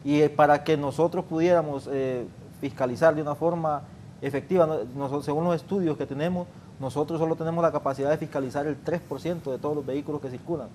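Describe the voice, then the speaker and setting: A man's voice: on the high side, steady tone, fast talker, darkish tone, a little hiss or hum. Earnest official making his case. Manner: earnest, explanatory, repeats himself. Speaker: traffic official guest. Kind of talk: interview, arguing a point.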